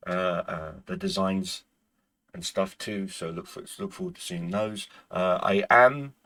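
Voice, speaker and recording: Deep gravelly man, rambling chat. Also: animated, a little slow, sharp tone. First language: Irish. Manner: rambling, with frequent stumbles and restarts. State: losing his voice. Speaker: gaming channel host. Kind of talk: casual chat to viewers.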